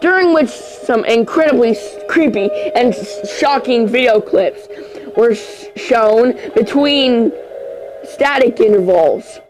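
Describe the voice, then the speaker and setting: Amateur creepy-story reader, slow and halting, mid-range voice, frequent stumbles, prominent music underneath. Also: medium pitch, animated, low-quality recording. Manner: halting, stumbles over words and repeats phrases. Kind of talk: amateur horror story reading.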